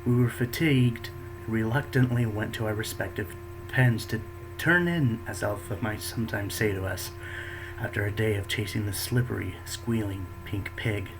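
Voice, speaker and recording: A man narrating in the first person as a protective female raptor, the beta of her pack, in a steady storytelling way. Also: lowish voice, normal speed, creaky, background noise. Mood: calm.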